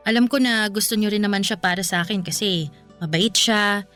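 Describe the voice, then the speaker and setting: A woman playing an earnest, loyal young woman in love in a radio drama, sincere and gently protesting. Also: medium pitch, brisk, faint music behind, natural voice. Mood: sincere, troubled. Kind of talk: Filipino radio drama acting.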